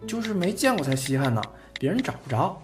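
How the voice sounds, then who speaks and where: A man's voice: a little high, sing-song, fairly quick, music in the background. A man acting a cheerful, doting young man in a Chinese audio drama, playful and boyish. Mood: tender.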